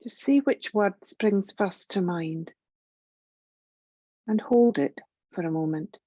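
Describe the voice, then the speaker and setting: A woman guiding a yoga relaxation meditation in a gentle, soothing, measured way, leaving long pauses. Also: mid-range voice, no echo, highs cut off. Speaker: yoga nidra meditation guide.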